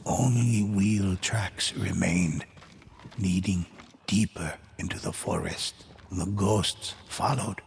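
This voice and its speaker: Solemn storyteller for a samurai fantasy game: low, gravelly, measured and dramatic. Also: male voice, fairly even intonation, a little hiss or hum, heavily acted. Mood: solemn storytelling. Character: grave male storyteller of samurai ghost legends. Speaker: storyteller narrator. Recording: loud music under the voice. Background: loud game soundtrack music.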